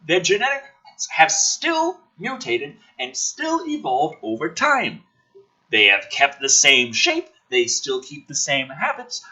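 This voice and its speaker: An exasperated man delivers a sarcastic, sweary rant as a review host. His voice sits high and has a bright, forceful edge. The pitch swings around in a very lively way as he argues his points. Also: lo-fi sound. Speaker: host of a video script-review series. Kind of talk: ranting commentary mixed with dramatic script reading.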